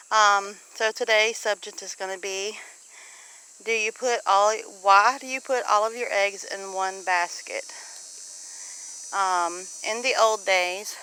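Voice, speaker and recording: A woman's voice: medium pitch, bright, forceful tone, low-quality recording. A woman with a Southern US accent chatting casually in a plainspoken, folksy way, as a homestead vlogger talking to viewers. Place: outdoors on a farm among animals. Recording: outdoor farm noise, animals and insects. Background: faint animal and insect sounds.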